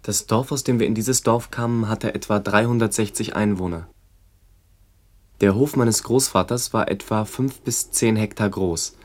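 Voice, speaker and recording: A man's voice: fairly low pitch, moderate pace, darkish tone, close to an everyday voice. An actor playing a sober man who narrates a radio play in a plain, matter-of-fact way. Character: sober man recalling village and family history. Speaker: second narrator.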